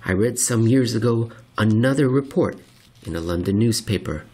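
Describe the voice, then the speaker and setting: A man narrating a written essay aloud in a deliberate, theatrical way with pointed emphasis. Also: lowish voice, expressive, mellow, breathy edge. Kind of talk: scripted narration, dramatic essay reading.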